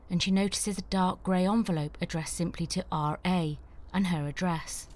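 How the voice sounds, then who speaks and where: A woman narrating the stage directions of a screenplay in a clear, measured way. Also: fairly low pitch, a little mellow.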